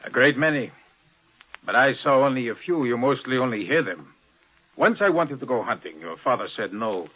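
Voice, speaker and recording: A man's voice: medium pitch, lively intonation, sharp tone. An actor playing a bitter, guarded pilot in his thirties, speaking curtly in a 1950s radio drama. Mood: bitter, brooding. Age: 30s. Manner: curt, brooding, deliberate. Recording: dull, lo-fi 1950s radio recording.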